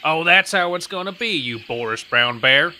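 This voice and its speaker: A man's voice: fairly high pitch, lively intonation, moderate pace, sharp tone. A man playing a pompous traffic cop who rattles off strings of alliteration in an officious tone. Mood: ranting. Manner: officious, tongue-twisting, rising to rants.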